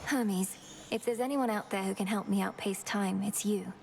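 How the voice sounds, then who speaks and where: A woman's voice: mid-range voice, fairly even intonation, normal speed, vocal fry, darkish tone, slight background noise, lightly acted. A woman playing a determined, earnest young witch princess in a video game, speaking formally. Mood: resolute. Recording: music behind the voice.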